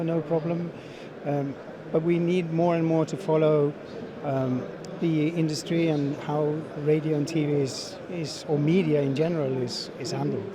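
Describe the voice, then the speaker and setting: Middle-aged male interviewee, slightly high voice, halting delivery, trade show bustle behind. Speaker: sales manager being interviewed at a trade show. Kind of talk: unscripted interview answers. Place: busy exhibition hall. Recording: busy trade show crowd noise.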